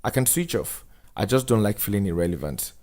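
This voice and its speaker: A man acting a solemn, low-voiced ex-editor. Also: expressive, a little fast, a little mellow, close to an everyday voice. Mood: light teasing. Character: Daniel, remorseful former newspaper editor haunted by jealousy.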